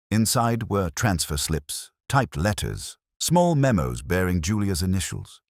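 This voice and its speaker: Really deep male narrator reading a detective story, brooding and measured, pitch moves around a lot, dry close sound. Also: breathy edge, dry recording. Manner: measured, literary, brooding delivery. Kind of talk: scripted first-person detective story narration.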